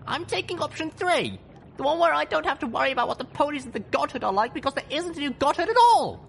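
Earnest, very high-pitched young pony mare. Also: male voice, big pitch swings, quick pace, creaky, fairly bright tone, slight background noise, full character voice. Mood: stern, defiant. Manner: stern, earnest speechmaking. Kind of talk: dramatised audiobook storytelling.

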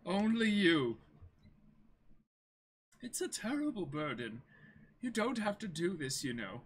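A man's voice: sits high, very animated, slow pace, breathy edge, heavily acted. A man voicing a wounded, loyal servant, grave and earnest, in an amateur manga reading. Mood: grave, urging.

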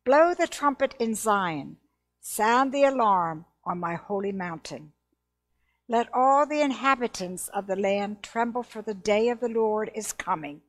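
An older woman reading prayer and scripture aloud in a solemn, measured and formal manner. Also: fairly high pitch. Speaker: scripture reader. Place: indoors at a church lectern.

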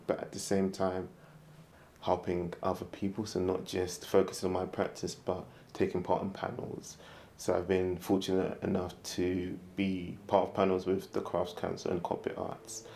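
A man speaks in a low, gravelly voice, calm and reflective. His tone is mellow and fairly flat. Faint studio hum sits behind him. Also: moderate pace. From London. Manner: calm, reflective, soft-spoken. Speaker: woodturner, interviewee. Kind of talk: interview. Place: woodturning studio workshop.

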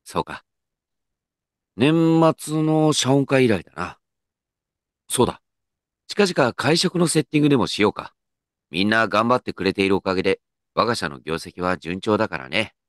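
An actor playing an earnest, dignified company president in a comedic radio drama, with pompous, measured executive speech. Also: male voice, lowish voice, lively intonation, fairly bright tone, no echo, studio quality. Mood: genial.

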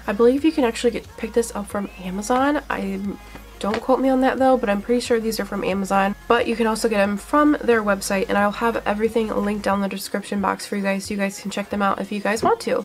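A woman's voice: mid-range voice, fairly even intonation, quick pace, faint music behind. A young woman in her twenties, stuffy with a cold, chatting eagerly through a casual skincare review in a small room, full of likes and fillers. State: congested from a cold. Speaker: beauty vlogger.

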